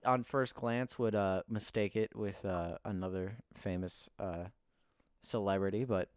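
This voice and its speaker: Teenage podcast host, lowish and smooth-voiced, talking in a casual, hesitant way over a dry close mic. He stumbles through his questions with constant filler words. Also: steady tone, a little slow, no echo.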